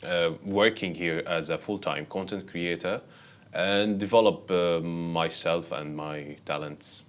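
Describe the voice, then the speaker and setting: A man with a low-pitched voice and a Syrian Arabic accent gives casual interview answers. He speaks in a halting way, pausing often as he searches for the right word. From Syria, Damascus. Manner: halting, frequent pauses searching for words. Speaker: interview guest, actor and content creator.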